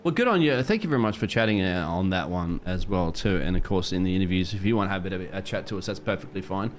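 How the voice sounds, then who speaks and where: A man's voice: deep voice, lively intonation, fast, a little hiss or hum. A man working as co-commentator on live sim racing, chatting casually and putting questions to drivers.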